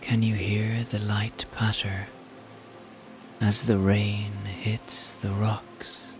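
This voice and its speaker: A man guides a relaxation exercise in a low, hushed voice. His delivery is extremely slow and very even, gentle and soothing throughout. Soft music and rain sit behind the narration. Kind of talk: scripted guided meditation narration.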